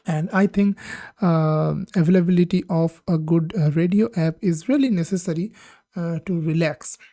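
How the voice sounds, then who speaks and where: A woman hosting a tech channel, talking through a software demo on screen, with many "uh" pauses and simple phrasing. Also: fairly low pitch, dry recording, clean studio sound. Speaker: tech tutorial host. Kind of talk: screen-recorded software walkthrough.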